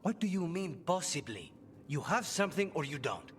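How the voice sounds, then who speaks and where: A man's voice: a little high, big pitch swings, moderate pace, a little hiss or hum. An actor playing a troubled, brooding assassin in a video game, intense and emotional in his delivery. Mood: troubled, tense. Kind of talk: video game character dialogue. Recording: long hall reverb, music behind.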